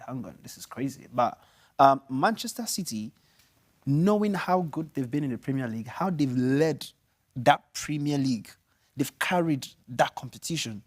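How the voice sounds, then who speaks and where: Animated Nigerian man rambling about football. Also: on the high side. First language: Igbo. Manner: rambling, animated, many restarts and "you know". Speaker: show co-host, pundit. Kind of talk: casual studio chat about football.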